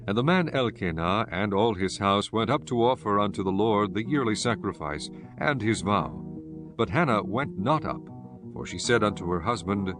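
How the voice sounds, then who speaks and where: A man narrating scripture from a script in a solemn, measured storytelling manner. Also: fairly low pitch, expressive. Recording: music bed behind the voice.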